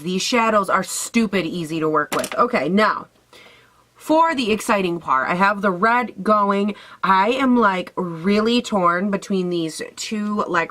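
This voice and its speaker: A young woman with a raspy voice, hoarse from a cold, chatting casually and sassily through a makeup try-on at home. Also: medium pitch, sharp tone. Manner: chatty, sassy, swears casually. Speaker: beauty vlogger.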